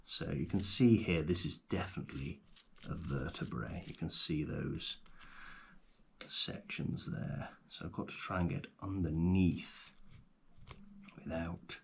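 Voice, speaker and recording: Genial male paleontologist, acted by a man, low-pitched, hushed ASMR roleplay delivery, hesitant and gentle, faint noise behind. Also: creaky. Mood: hushed, careful. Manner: unhurried, hesitant, gently delighted. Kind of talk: ASMR roleplay, soft-spoken and often whispered.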